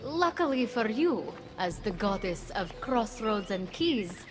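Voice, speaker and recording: A knowing, sly goddess in a video game. She has a medium-pitched voice and a teasing, confident delivery. Music plays clearly underneath. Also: big pitch swings, slow pace. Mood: sly, amused. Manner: teasing, self-assured. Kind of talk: dramatic video game cutscene acting.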